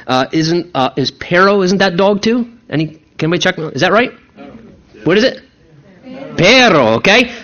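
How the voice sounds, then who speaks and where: A man's voice: sits high, a bit bright. Man preaching a sermon, muffled recording. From United States. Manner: teaching, engages congregation with questions. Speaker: pastor.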